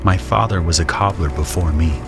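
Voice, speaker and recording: A grim conscript soldier telling his story in an audio drama. The voice is low and measured, solemn and watchful, with music running underneath. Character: grim, observant male conscript soldier narrating trench horrors. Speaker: narrator and soldier character. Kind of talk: first-person scripted audio drama narration.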